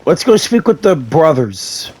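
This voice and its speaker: A man's voice: on the high side, big pitch swings, a little slow, a little mellow, breathy edge. A man playing a video game, reading its dialogue aloud and commenting along.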